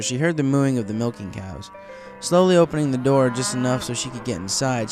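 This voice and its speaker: A man reading scripted story narration as the narrator of a fan tale. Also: medium pitch.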